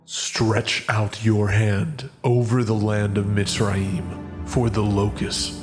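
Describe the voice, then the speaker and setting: Guy doing god in a bible audio drama, solemn n commanding, real slow, music behind. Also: fairly low pitch. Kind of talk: dramatized scripture reading.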